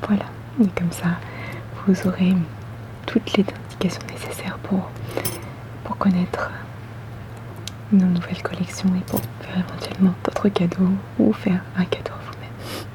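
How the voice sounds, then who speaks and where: A woman whispering softly and breathily in an ASMR roleplay as a polite, attentive boutique saleswoman, gentle and unhurried. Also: lowish voice, level tone, poor audio. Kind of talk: ASMR roleplay, customer service.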